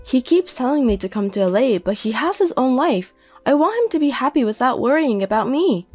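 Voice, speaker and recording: A woman voicing a sharp-tongued middle-aged mother who mocks and gloats. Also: high voice, very animated, fairly quick, soft background music, voice pushed up. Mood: indignant. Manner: mocking, gloating.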